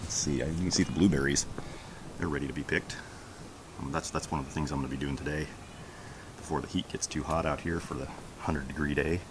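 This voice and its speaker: A man giving a casual walking tour of his backyard garden outdoors, chatty and relaxed as he points things out on a handheld camera. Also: a bass voice, fairly quick, noisy background. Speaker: homestead vlogger. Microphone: handheld camera held while walking.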